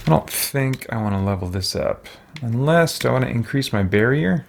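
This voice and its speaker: A man's voice: medium pitch, animated, moderate pace, gravelly, a little hiss or hum. A man hosting a roleplay let's play, thinking aloud in casual commentary. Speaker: gaming channel host.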